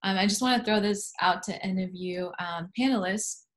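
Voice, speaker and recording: A woman's voice: medium pitch, fairly quick. A woman moderating a panel on a video call, encouraging and inviting answers.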